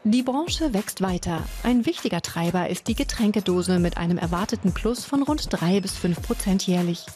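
A woman narrates a television news report in a scripted voice-over. Her read is smooth and even, at a middle pitch, with faint music underneath. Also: normal speed, few pauses.

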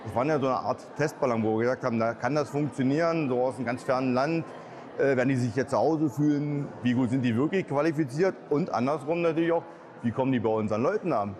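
A man's voice: mid-range voice, fast talker, darkish tone. A man speaking colloquially and spontaneously in an interview as a regional business owner. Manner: colloquial, plain-spoken. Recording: busy crowd noise behind.

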